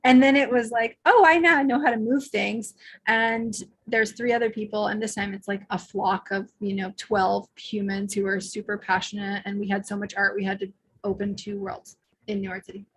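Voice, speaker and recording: A woman co-hosting an online panel while losing her voice, talking in a warm, effusive, rambling way on a video call mic. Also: medium pitch, steady tone, moderate pace, husky. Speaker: event co-host. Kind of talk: casual online panel talk.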